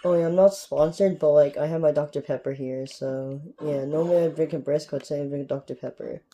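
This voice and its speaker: A young man from Canada giving rambling, casual gameplay commentary with a sore, strained throat, recorded on a phone filming a TV in a dark room at home. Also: fairly high pitch, steady tone, a little fast, slight background noise. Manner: rambling, keeps asking "alright?". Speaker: gaming YouTuber.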